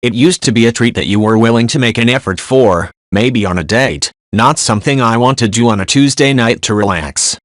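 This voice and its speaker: A man reading forum posts aloud in an even read-aloud delivery, saying symbols out literally. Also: medium pitch, a little fast, few pauses, dry recording, clean studio sound.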